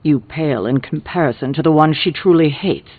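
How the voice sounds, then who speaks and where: A man's voice: on the high side, fairly quick, natural voice. A man acting a dry, formal mystic, thin audio. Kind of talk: video game character dialogue. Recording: thin, phone-like narrow audio.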